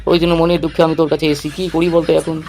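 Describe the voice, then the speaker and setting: A man's voice: on the high side, flat delivery, rapid-fire, background noise, lightly acted. A man voicing a gullible, earnest bald buffoon in a comic cartoon. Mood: plaintive.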